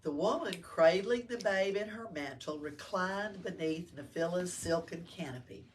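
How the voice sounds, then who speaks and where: A woman reads aloud to children in a teacherly, expressive way, pausing to ask questions. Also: fairly low pitch. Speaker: library story-time reader. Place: library room with children. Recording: faint background room noise.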